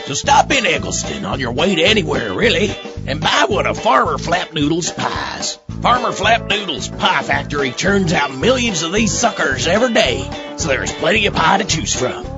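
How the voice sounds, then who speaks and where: A man's voice: fairly high pitch, sing-song, normal speed, pauses often. A man playing a folksy rural farmer selling pies in a hokey radio commercial. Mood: folksy, cheerful pitch. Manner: hokey, folksy salesman drawl. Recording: dull lo-fi audio with music behind.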